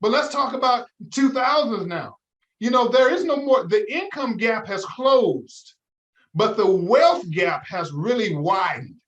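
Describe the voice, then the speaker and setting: A man's voice: sits high, lively intonation, a little slow, fairly bright tone, highs cut off. A middle-aged man, a financial advisor guest in an interview, talking in an emphatic, preacher-like way with many rhetorical questions. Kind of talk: interview, motivational talk.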